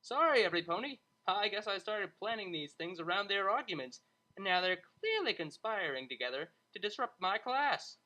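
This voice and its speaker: A narrator voicing an excitable, theatrical male pony tutor in an audiobook, exclaiming and pushing for attention. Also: medium pitch, moderate pace, pitched above the performer's own voice, poor audio. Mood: exasperated. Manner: exclamatory, animated. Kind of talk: audiobook character voice.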